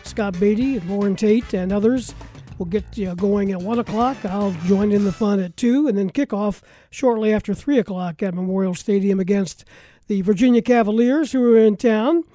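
A man's voice: high voice, normal speed, mellow, faint music behind. An American man hosting a live local sports radio talk show, announcing and chatting with guests.

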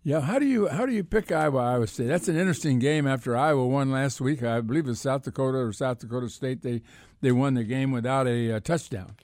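An older American man gives casual sports analysis as a radio co-host. He has a soft-edged, mellow voice and a folksy way of repeating himself for emphasis, recorded dry and close. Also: medium pitch, fast, no room sound.

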